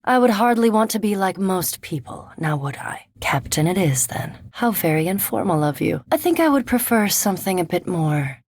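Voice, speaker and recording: An actress playing a cool, scholarly, curious vampire animancer in a fantasy video game, speaking formally and precisely with a dry, reserved manner. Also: female voice, mid-range voice, big pitch swings, normal speed, few pauses, slightly rough, dry recording. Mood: dry, polite.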